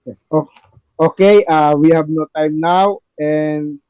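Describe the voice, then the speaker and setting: A man with halting, repetitive, non-native phrasing, narrating a play reading and chatting with the cast as podcast host. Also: fairly high pitch, fairly even intonation, mellow, smooth, dry recording, rough recording.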